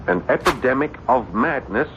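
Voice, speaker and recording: A man's voice: medium pitch, expressive, lightly acted. Man acting haunted writer, wildly swinging voice. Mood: insistent, urgent. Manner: agitated, insistent, halting when fearful. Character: terrified, defiant French writer haunted by nightmares. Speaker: radio drama actor. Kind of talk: old-time American radio drama acting. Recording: dull, noisy vintage radio recording.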